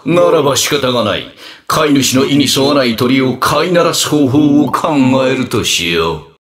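An actor playing a pompous, controlling mastermind villain in a video game, with a condescending, grandiose, theatrical delivery. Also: male voice, medium pitch, a bit bright, exaggerated voice. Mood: cold menace.

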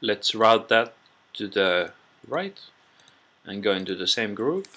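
A man narrating an informal software tutorial in a halting, step-by-step way, recorded on a built-in computer microphone. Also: lowish voice, big pitch swings. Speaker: tutorial presenter.

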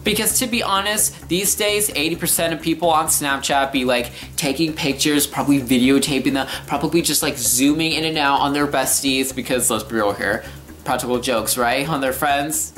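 A man's voice: mid-range voice, normal speed, fairly bright tone, soft background music. A young man in his teens or just out of them telling a casual story in an exaggerated, sarcastic way, like a rant to camera. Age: teenager to young adult. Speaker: vlogger telling a personal story. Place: living room, indoors.

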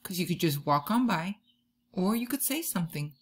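A woman in her thirties doing an expressive children's read-aloud, drawing out key words like a teacher talking to young listeners. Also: fairly low pitch, moderate pace. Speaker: teacher reading a picture book to children. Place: bedroom or room at home, indoors.

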